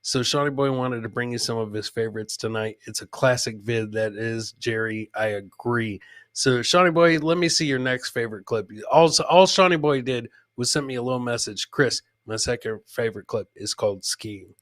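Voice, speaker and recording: A man's voice: mid-range voice, moderate pace, a bit bright, no room sound, studio quality. A man hosting a casual comedy show, commenting on clips and often repeating phrases for emphasis. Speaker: podcast host.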